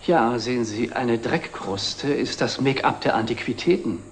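A middle-aged actor playing a wry, wistful antique dealer, formal and dry, in a 1960s TV drama. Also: male voice, mid-range voice, a little slow, lightly acted. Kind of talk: 1960s German television drama acting. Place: indoor antique shop set. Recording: noisy old TV film soundtrack.

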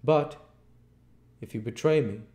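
A man's voice: medium pitch, a little slow, a little mellow, voice pushed up, close to an everyday voice. A man voicing a desperate, domineering invisible man in a storybook, commanding, insistent and well-spoken. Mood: menacing.

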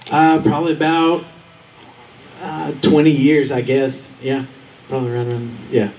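A man's voice: mid-range voice, flat delivery, moderate pace, darkish tone. An easygoing man, answering politely and without hurry. His voice is caught from a distance on an outdoor stage, with a faint hum behind it. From East Texas. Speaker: songwriter contestant.

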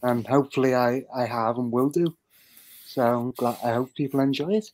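A man's voice: medium pitch, steady tone, moderate pace, a little mellow. A man speaking as a podcast guest, modest, polite and hesitant, over an online call. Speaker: guest, amateur actor. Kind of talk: interview chat.

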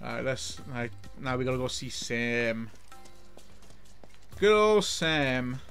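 A man's voice: medium pitch, animated, takes it slowly, a bit bright, clean tone, soft background music. Welsh man, middle-aged, casual rambling game-stream chat. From Wales. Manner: rambling, many restarts and repeated words. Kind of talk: casual live-stream commentary. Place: home room.

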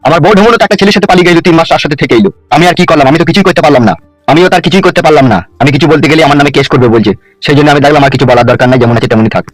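A man's voice: a little high, rapid-fire, no echo, close to an everyday voice. A man playing a brash politician in a comedy cartoon who coaxes his new bride with wheedling, boastful, crude talk. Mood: indignant, boastful. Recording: music behind.

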